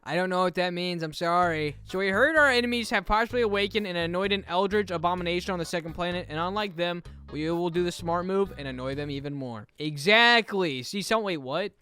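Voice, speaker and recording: High-voiced man reacting casually. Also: brisk, few pauses, bright, forceful tone. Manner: slangy, breaking off into asides. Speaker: reaction video host. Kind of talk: casual reaction commentary, translating and reading subtitles aloud.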